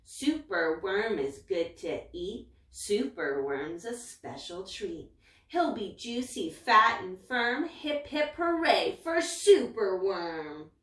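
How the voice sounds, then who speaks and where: A woman's voice: medium pitch, big pitch swings, slow. A woman voicing a grim, hungry crow who chants gloatingly in a storybook. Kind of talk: storybook character voice. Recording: roomy echo, distant mic.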